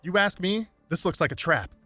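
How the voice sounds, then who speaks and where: Man playing a wary, bright-voiced young superhero. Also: high-pitched, expressive, moderate pace, no echo, faint music behind, voice pushed up, full character voice. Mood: urgent concern. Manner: casual, streetwise, wary. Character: cautious, protective young male superhero.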